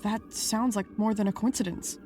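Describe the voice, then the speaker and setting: A woman's voice: mid-range voice, steady tone, normal speed, mellow, soft background music, natural voice. A woman playing a blunt, impatient sidekick in a podcast drama, speaking in a dry manner. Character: blunt, impatient sidekick keeping the talk on track.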